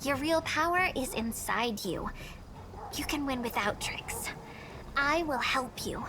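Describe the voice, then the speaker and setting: A sweet, loyal young companion from a fantasy game. Her high voice moves slowly and with simple, heartfelt feeling. Clear ambient noise sits behind her. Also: lively intonation. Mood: earnest, reassuring. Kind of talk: video game voice acting.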